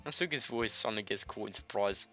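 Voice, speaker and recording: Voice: medium pitch, fast talker, bright, forceful tone. A gamer doing an informal let's play, reading visual novel text aloud and stumbling over it now and then. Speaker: gaming video creator. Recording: dull lo-fi mic, music behind.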